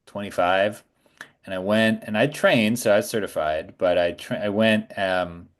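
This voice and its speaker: A man in his forties chatting casually as a podcast guest, thoughtful and a little halting as he phrases things. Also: on the low side. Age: 40s. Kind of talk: casual chat.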